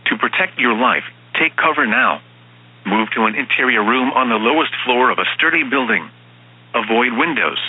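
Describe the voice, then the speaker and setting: A man's computerized voice reading emergency weather warnings in a flat, even delivery. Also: medium pitch, normal speed, creaky, slightly rough. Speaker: automated weather radio announcer. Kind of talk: synthetic emergency broadcast reading. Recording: tinny radio band with faint hum.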